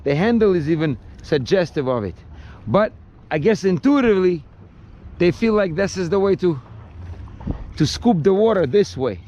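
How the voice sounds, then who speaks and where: A man's voice: high-pitched, expressive, mellow, lo-fi sound, a little hiss or hum. Russian-accented man chatting on the water. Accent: Russian with a touch of Brooklyn. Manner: chatty, restarts often, speaks slowly and thoughtfully. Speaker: vlogger on a paddleboard. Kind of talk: casual chat. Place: outdoors on the water.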